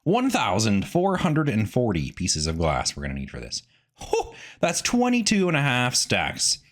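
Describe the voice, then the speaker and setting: A man's voice: mid-range voice, huge pitch swings, moderate pace. A man giving casual, chatty gameplay commentary, rambling in asides to viewers as a video host.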